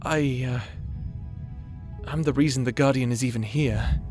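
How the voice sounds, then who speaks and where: A man's voice: mid-range voice, a little slow, over music, close to an everyday voice. A man playing a timid, awkward young wizard in a fantasy audio roleplay, earnest and apologetic, with a hesitant, stammering delivery. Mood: earnest, pleading.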